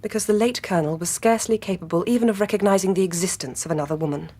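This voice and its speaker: An actress playing a guarded, indignant Victorian gentlewoman with an English accent, clipped and very formal in a British radio drama. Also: lowish voice, rapid-fire, slightly rough.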